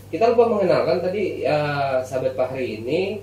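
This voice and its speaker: A man's voice: fairly high pitch, big pitch swings, fairly quick, mellow. 40s guy hosting a podcast, rambly questions, roomy echo, faint noise. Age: early 40s. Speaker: podcast host. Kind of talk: casual interviewing. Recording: echoey room, distant mic, faint noise.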